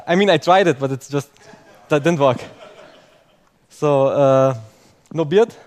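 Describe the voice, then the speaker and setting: A man's voice: medium pitch, darkish tone. A young man giving a conference talk in English, which is not his first language, chatty and self-mocking, often ending points with "okay?". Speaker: conference speaker. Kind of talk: conference talk to a live audience.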